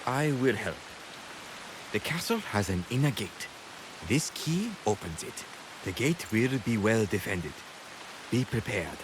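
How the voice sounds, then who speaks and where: An earnest, loyal man of feudal Japan for a game cutscene. His voice is low and very slow, halting and deliberate. Hiss and falling rain sit clearly behind him. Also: big pitch swings. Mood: grave. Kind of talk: video game cutscene dialogue.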